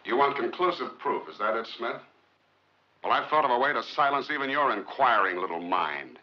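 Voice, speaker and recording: An actor playing a brooding, determined scientist in a 1950s film drama, with clipped, earnest, sardonic delivery. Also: male voice, mid-range voice, lively intonation, moderate pace, natural voice. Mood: defiant, determined. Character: brooding, determined male rocket scientist accused of murder. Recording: muffled 1950s film soundtrack.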